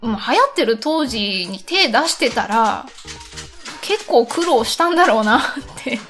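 A woman with a high, animated voice hosts a casual radio talk. She is polite and chatty, moving between conversation and reading out mail, with light music underneath. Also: a little slow. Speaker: radio show host.

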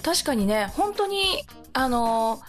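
A woman's voice: high voice, big pitch swings, slow pace, faint music behind. A woman guest talking casually and conversationally on a radio show.

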